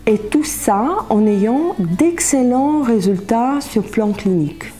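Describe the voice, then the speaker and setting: A woman speaking as a hospital spokesperson in a formal presentation style, deliberate and phrase by phrase, with music in the background. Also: on the high side, animated, mellow. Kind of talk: corporate presentation interview.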